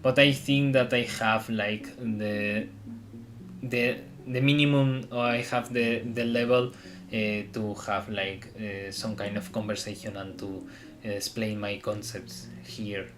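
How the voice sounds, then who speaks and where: Slow, hesitant Spanish-accented man. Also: fairly low pitch, fairly even intonation, a bit bright. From Spain. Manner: hesitant, often searching for words. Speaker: streamer. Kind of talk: casual live-stream chat. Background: guitar music.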